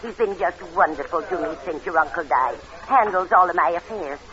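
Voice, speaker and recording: An actress playing a fond, fluttery older widowed aunt in a vintage radio drama. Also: female voice, lowish voice, fairly quick, dull audio, crowd noise, natural voice. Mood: fond, chatty. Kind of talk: 1940s radio drama acting.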